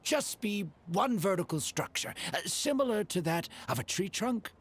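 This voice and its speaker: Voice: mid-range voice, big pitch swings, moderate pace. A voice actor playing a hard-to-please client in a video game, polite and hesitant while asking for changes. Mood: fussy. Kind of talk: game voice acting.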